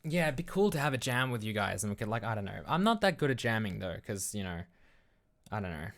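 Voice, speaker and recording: A man's voice: lowish voice, sing-song, fast talker, a bit bright. An Australian man chatting casually as a livestream host, rambling loosely and thinking aloud. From Melbourne, Australia.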